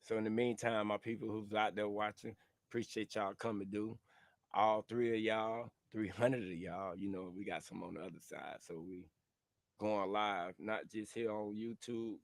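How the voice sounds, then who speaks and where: A man's voice: fairly low pitch, fairly even intonation, moderate pace, no room sound. A man hosting a live-streamed talk show, talking in a laid-back, slangy, encouraging way. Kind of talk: casual live-stream chat.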